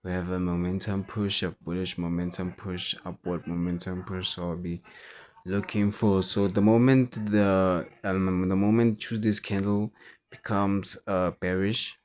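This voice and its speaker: Man, non-native english, very low flat voice, slow, halting chart commentary. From South Africa. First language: not English. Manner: hesitant, halting, repeats words. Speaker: forex trader.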